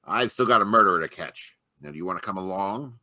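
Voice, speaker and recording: A man's voice: low voice, animated, fast, pushed and bright, no room sound, exaggerated voice. A man playing a brusque, tough police inspector in a radio drama read live over a video call. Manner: brusque, barking. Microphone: home microphone on a video call.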